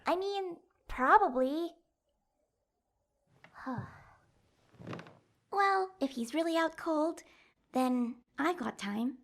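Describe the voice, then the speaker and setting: A woman's voice: high voice, drawn-out words, long pauses, exaggerated voice. A woman acting a frightened but sarcastic young heroine in an audio drama. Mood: wary, dry. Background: faint music.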